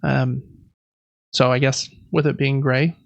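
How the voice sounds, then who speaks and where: Gravelly-edged man chatting on a podcast. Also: medium pitch, level tone, takes it slowly, dry recording. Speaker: podcast host. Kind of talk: casual podcast talk about board games.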